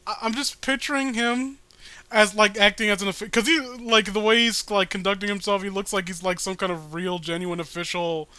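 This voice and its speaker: A man with a high, bright voice hosts a casual comic read-along. His tone is smooth and clear, and he talks in a jokey, crude way. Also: fairly even intonation, a little fast, no room sound. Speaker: host reading a webcomic with a friend.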